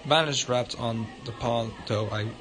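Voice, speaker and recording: A man's voice: mid-range voice, a little slow, a crowd in the background. A male livestreamer reading visual novel narration aloud in an informal way, sometimes stumbling over the words. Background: loud guitar music.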